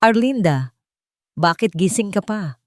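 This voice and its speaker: A woman's voice: mid-range voice, animated, measured pace, no echo, studio quality, close to an everyday voice. A woman voicing a troubled, weary rich man with a serious, restrained manner in an audio love story. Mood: tired, gentle. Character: troubled, weary wealthy man, gentle but burdened.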